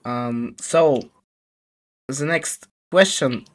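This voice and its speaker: Slow, halting podcast host, a man. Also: mid-range voice. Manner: hesitant and halting, non-native English. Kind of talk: casual podcast hosting.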